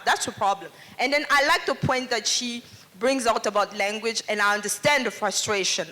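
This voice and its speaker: A woman's voice: on the high side, sharp tone. A woman panelist, speaking English earnestly and outspokenly. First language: Lingala. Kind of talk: panel discussion comment.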